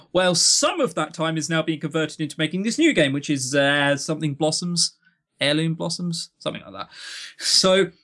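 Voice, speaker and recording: A man's voice: fairly high pitch, pushed and bright. A man of twenty-two co-hosting a podcast in casual, joking chat, with tongue-in-cheek, self-deprecating banter. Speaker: podcast co-host.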